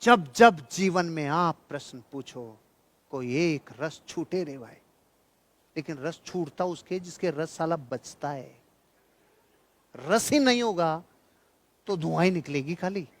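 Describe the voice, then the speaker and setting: A man with a high-pitched voice delivers a motivational seminar speech. He is emphatic and repeats key phrases for effect. His storytelling is colloquial and laced with humour. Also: lively intonation, slow, long pauses. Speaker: motivational speaker and trainer.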